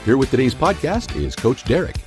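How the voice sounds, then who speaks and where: A man's voice: mid-range voice, huge pitch swings, a little fast. A man reading a scripted, polished announcer introduction for a podcast. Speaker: show announcer. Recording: echoey with music underneath.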